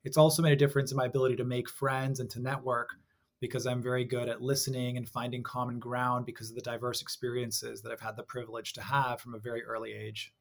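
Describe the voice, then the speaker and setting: A young adult man with an American accent, a guest in a conversational interview, speaking in an articulate, measured way. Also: mid-range voice, flat delivery, creaky. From Hong Kong.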